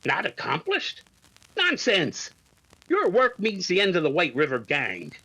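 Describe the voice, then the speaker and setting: A man performing a hearty police superintendent in a radio adventure. His voice is high for a man and very animated, swinging widely in pitch. It is bright, forceful and emphatic. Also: measured pace, slightly rough, heavily acted, rough recording. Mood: hearty, assured. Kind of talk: old-time radio adventure acting.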